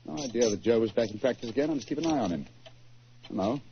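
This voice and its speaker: An actor playing a brilliant, self-assured British detective with precise, theatrical diction in a 1940s radio drama. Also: male voice, medium pitch, animated, quick pace, lightly acted. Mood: amused, alert. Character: brilliant, self-assured English master detective, amused and incisive. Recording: muffled, noisy 1940s radio recording.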